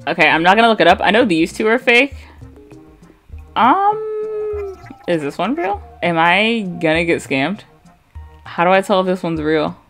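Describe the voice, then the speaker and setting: A young woman from the American Midwest with a Midwest accent and a slight vocal fry, chatting bluntly and swearing while commenting on gameplay. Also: mid-range voice, measured pace, long pauses, faint music behind. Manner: chatty, blunt, swears freely, reads game dialogue aloud flatly. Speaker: gaming streamer.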